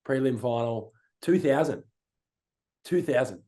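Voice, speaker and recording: Hoarse young Australian man, mellow voice. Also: mid-range voice, unhurried. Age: young adult. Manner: excited, rambling, laughs often. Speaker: podcast co-host. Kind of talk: casual chat.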